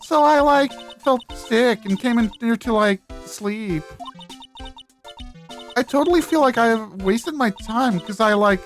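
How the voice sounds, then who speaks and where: A woman voicing a ditzy young female student in a video game, with a drawn-out, vague, girlish valley-girl way of talking. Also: fairly high pitch, faint music behind. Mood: ditzy, casual. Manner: drawn-out vague speech peppered with "like".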